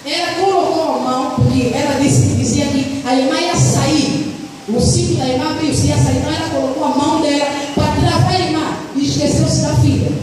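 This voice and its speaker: A woman with a high voice preaches in a church, telling a testimony in an earnest way. Her voice booms around a big, echoey hall. The mic is set some distance from her, and steady noise runs underneath. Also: brisk, music in the background. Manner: earnest storytelling, asks the congregation for amens. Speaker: missionary preacher. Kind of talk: sermon with a personal testimony.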